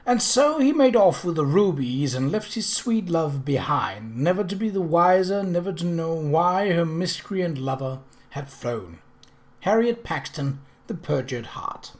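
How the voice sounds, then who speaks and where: Narrator for period fiction: middle register, scripted, expressive storytelling. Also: mid-range voice, measured pace. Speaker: audiobook narrator.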